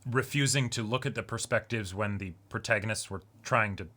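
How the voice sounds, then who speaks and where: A man's voice: fairly low pitch, a little fast, sharp tone. A man co-hosting a show in casual chat, talking in an agreeable, conversational way. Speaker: co-host of an anime reaction discussion show.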